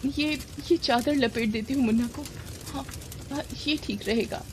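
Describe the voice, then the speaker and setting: A woman voicing a distressed, self-sacrificing poor young mother in an animated moral story, pleading and emotional. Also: fairly high pitch, moderate pace, slight background noise, pitched above the performer's own voice, lightly acted. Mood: panicked.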